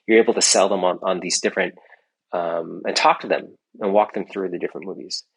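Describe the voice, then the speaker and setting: A man's voice: low-pitched, steady tone, fairly quick, creaky, breathy edge, poor audio. A middle-aged man, an entrepreneur guest in a casual interview, rambling with many restarts and tag questions. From United States.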